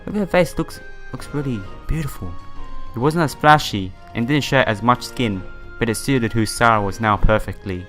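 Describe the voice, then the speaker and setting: Guy doing a casual let's play, mid-pitched voice, reads the game text out, music behind him. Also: normal speed, fairly bright tone, poor audio. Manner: informal, addresses viewers directly. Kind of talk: casual video game playthrough commentary, reading story text aloud.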